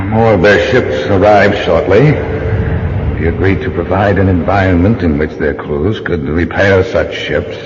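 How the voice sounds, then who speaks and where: A man playing a coldly formal, ominous general in a radio drama, measured with hesitant pauses, on a dull, noisy recording. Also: low-pitched.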